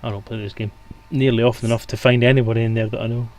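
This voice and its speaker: A man's voice: medium pitch, flat delivery, racing, darkish tone, rough recording. A man bantering casually as a guest on a stream.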